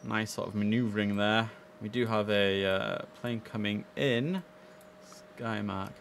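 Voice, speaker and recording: A man's voice: lowish voice, steady tone, measured pace, creaky, a little hiss or hum. A young man chatting casually and easily as he hosts a live gaming stream, speaking into a headset.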